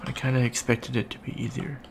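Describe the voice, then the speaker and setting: Gravelly, awkward young alien student voiced by a man. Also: medium pitch, monotone, brisk, background noise, natural voice. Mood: awkward, chatty. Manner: hesitant, stammering, awkward small talk. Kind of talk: improvised ASMR roleplay, casual chat.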